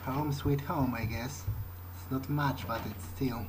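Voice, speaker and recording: A man's voice: mid-range voice, steady tone, a little slow, background noise. A man improvising aloud in character during a game playthrough, speaking in a hesitant, thoughtful, musing way. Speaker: gamer playing in character. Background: game music.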